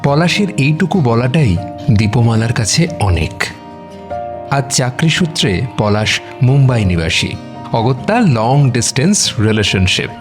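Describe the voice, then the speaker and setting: A male narrator reads a scripted romantic story with dramatic phrasing. His medium-pitched voice moves expressively up and down over faint background music. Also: big pitch swings, unhurried.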